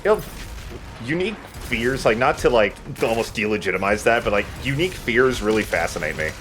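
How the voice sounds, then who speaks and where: A man's voice: medium pitch, big pitch swings, a little fast, fairly bright tone, slight background noise. A man giving casual, chatty gaming commentary to a friend as he plays. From United States. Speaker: let's play host playing the game.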